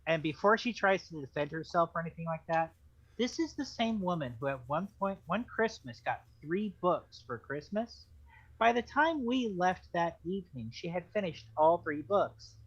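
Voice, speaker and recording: A man's voice: sits high, lively intonation, normal speed, highs cut off. A man co-hosting a book club in casual, conversational chat.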